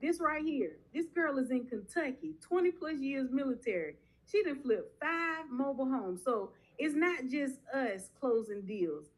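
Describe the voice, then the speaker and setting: A woman coach talking directly and punchily to a livestream audience, pitching her program. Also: lively intonation, a little slow, few pauses, rough recording. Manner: direct, punchy, says "y'all". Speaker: guest speaker, mobile home investing coach. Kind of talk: casual sales pitch.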